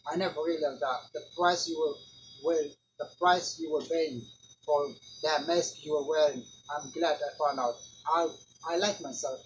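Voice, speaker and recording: A man gives voice to a shy, overlooked student making a quiet confession. His tone stays reflective, set a little high. Faint crickets sound in the background. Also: slight background noise, close to an everyday voice, low-quality recording. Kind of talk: dramatic monologue reading.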